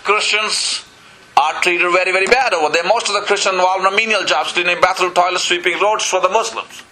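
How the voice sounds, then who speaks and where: A man's voice: sits high, brisk, pushed and bright, slight background noise, rough recording. A man from Pakistan, preaching a sermon in church, earnest and full of "you know" and calls of "Amen?". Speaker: pastor.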